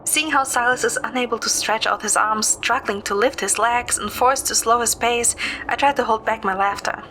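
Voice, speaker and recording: A woman's voice: fairly high pitch, normal speed, sharp tone, slight background noise, poor audio. A woman reading scripted first-person story narration. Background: music.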